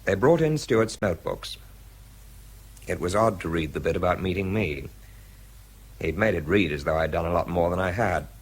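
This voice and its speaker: A wry wartime bomb expert tells his own story in a radio drama. The voice is very low and husky, understated and a little hesitant, with anxiety held underneath. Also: animated, normal speed, pauses often, full character voice. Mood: reflective, subdued. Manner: understated, hesitant when challenged. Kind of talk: radio drama narration and dialogue.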